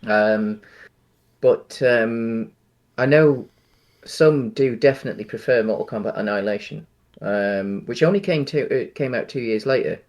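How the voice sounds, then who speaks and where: A man co-hosting a casual film discussion, speaking in a halting, hesitant way with frequent ums. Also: medium pitch, darkish tone. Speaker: podcast co-host.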